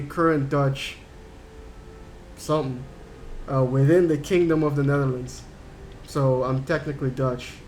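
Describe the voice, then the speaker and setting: A man's voice: medium pitch, a little hiss or hum. A man from Curaçao streaming a game, chatting casually and answering his chat in a rambling way. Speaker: gaming streamer.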